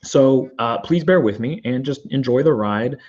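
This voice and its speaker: A man hosting his own podcast, energetic and rambling with asides, his voice still hoarse as it recovers. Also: mid-range voice, moderate pace, highs cut off. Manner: enthusiastic, rambling with fillers and asides. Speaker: podcast host. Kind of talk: energetic solo podcast monologue.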